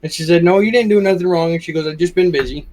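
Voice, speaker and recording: A man with a slightly high voice chats as a guest on a livestream. He talks informally and anecdotally, acting out the remarks he quotes. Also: quick pace, a little mellow. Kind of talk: casual chat on a livestream.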